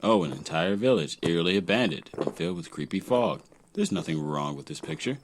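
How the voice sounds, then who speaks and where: A man voicing a jaded, sarcastic little fairy-world sidekick in a comic dub, with dry deadpan delivery. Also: low-pitched, fairly quick, background noise. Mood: dry sarcasm. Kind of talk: comic fan-dub voice acting.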